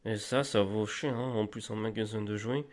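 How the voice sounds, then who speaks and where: A man with a slight cold, showing off flea-market finds in a rambling, halting, casual way, with many restarts. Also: fairly low pitch, fairly even intonation. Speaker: collector vlogger. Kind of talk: casual show-and-tell.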